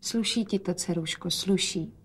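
Woman reading a quiet, wistful old father. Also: fairly high pitch, steady tone. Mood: tender, quiet. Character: sick old father, wistful, resigned, bitter former billiard player.

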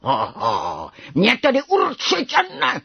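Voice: medium pitch, wildly swooping pitch, vocal fry, slightly rough, dry recording, muffled recording, exaggerated voice. A storyteller voicing a ferocious, bossy little tiger cub with a blustering, roaring delivery, as in a storybook. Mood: defiant boast.

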